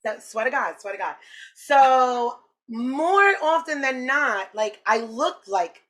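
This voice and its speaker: A woman's voice: high-pitched, lively intonation, takes it slowly, a bit bright. Blunt New York woman, tag questions. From South Bronx, New York. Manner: blunt and emphatic, leans on "right?" and "like". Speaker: podcast guest. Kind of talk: candid conversation.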